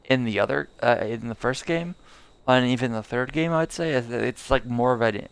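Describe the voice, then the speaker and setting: A young man chatting over his gameplay in a loose, rambling way, full of fillers and restarts. His voice has a gravelly edge but stays smooth and clear underneath. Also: mid-range voice, normal speed, no room sound. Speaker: streamer. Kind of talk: casual live gameplay commentary.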